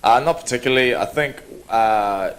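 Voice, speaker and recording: A young man, answering reporters' questions in an easygoing, relaxed way at a media day. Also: medium pitch, moderate pace, slight background noise. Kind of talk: press scrum answers.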